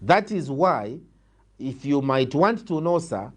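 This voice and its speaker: Zambian man, animated and emphatic, higher-pitched. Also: slow. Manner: emphatic, repeats phrases for stress. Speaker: opposition party politician, guest. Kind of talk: political interview answers, argumentative.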